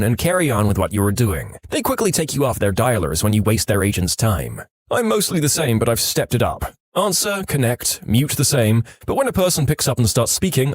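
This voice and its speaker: Guy narrating internet stories for a podcast, lively up and down pitch, really dry recording. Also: no echo. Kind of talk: scripted narration of online stories.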